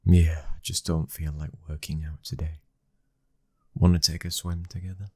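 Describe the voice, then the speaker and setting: A British man playing a protective, devoted young mafia heir and lover in an intimate ASMR roleplay, speaking softly and tenderly, often near a whisper. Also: a bass voice, little pitch movement, normal speed, slightly rough, no echo, studio quality. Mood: affectionate, relaxed.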